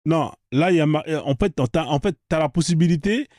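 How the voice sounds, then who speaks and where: Debate host, male, higher pitch, mellow tone, insistent and repetitive, dry close sound. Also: a little fast, dry recording. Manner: pressing, repeats questions insistently. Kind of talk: live online debate.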